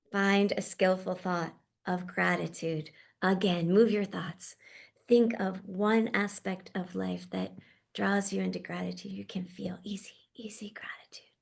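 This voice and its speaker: A woman gently leads a guided meditation, speaking slowly and softly, her words often drifting into a half-whisper. Her pitch stays level and even, with a slightly gravelly edge. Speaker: meditation leader.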